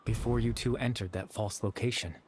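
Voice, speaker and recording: An actor playing a gentle, sorrowful young man in a video game, speaking softly and hesitantly with wistful remorse. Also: on the low side, fairly even intonation, fairly quick, slight background noise, close to an everyday voice. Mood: calm, explaining. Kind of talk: dramatic video game dialogue.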